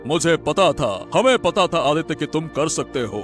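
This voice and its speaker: A man's voice: fairly high pitch, very animated, moderate pace, soft background music. A man voicing a trusting, regal king who speaks earnestly in a moral-story cartoon. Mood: grateful, kindly. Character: trusting, grateful fairy-tale king. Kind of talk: animated Hindi moral-story dialogue.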